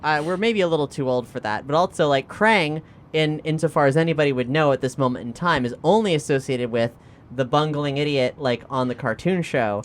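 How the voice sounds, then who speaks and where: A man playing a tabletop game, chatting casually with halting, bantering delivery. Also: fairly high pitch. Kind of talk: casual chat.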